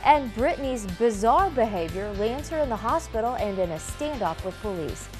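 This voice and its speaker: A woman's voice: a little high, animated, normal speed, over music. A woman reading scripted television news as a morning anchor.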